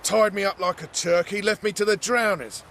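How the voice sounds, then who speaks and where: A creaky-voiced army deserter. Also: male voice, high voice, steady tone, normal speed, a bit bright, slight background noise. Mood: weary, bitter. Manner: coarse, colloquial. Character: rough, beaten-down male army deserter, plain-spoken and grateful. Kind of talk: video game character acting.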